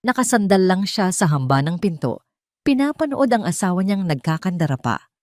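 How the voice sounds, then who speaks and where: A woman narrating a dramatic story in a scripted storytelling style, slowing down and lifting her voice for tense moments. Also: lowish voice, very animated, darkish tone, no room sound, clean studio sound.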